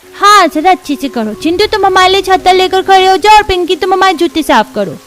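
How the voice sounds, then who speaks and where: A woman's voice: extremely high pitch, expressive, brisk, fairly bright tone. A woman voicing an insistent young village child in a cartoon story. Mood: bossy.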